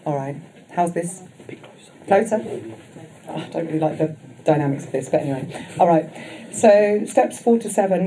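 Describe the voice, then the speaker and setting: Woman husky, low, mellow voice, loud on the mic, halting reflective share. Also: a little hiss or hum, low-quality recording. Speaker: guest speaker at a recovery convention. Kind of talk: personal recovery share. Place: convention session room. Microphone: microphone.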